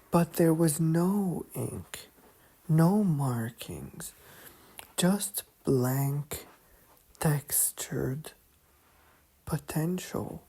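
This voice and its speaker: A woman narrating a bedtime sleep story in a soft, calm, hushed monotone with long pauses, often slipping toward a whisper. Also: deep voice, husky.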